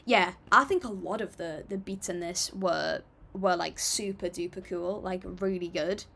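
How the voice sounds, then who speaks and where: A woman's voice: fairly low pitch, unhurried, vocal fry, a bit bright. A young woman hosting a casual livestream, chatting and reading viewer comments aloud in an upbeat, apologetic way. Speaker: livestream music reactor.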